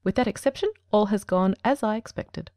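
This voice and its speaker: A woman performs a self-assured detective genius in an audiobook. He talks fast and precisely in a mellow tone, formal and commanding. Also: mid-range voice, no echo, clean studio sound, lightly acted. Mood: assured, dry. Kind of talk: dramatic audiobook reading.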